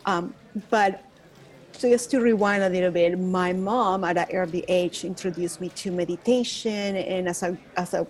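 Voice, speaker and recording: A woman's voice: on the low side, fairly even intonation, moderate pace, a little mellow. A woman from Venezuela with a Venezuelan accent, Spanish her first language, talking earnestly as a podcast guest about her path to teaching yoga. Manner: earnest, reflective, with restarts and laughs. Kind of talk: casual interview.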